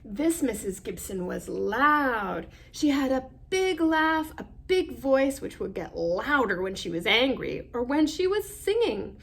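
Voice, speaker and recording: Lady doing kids story time, slow n gentle, highish voice, checks in with the little listeners. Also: big pitch swings, creaky. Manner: gentle, simple explanations with frequent questions to the child listener. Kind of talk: children's read-aloud with asides to young listeners.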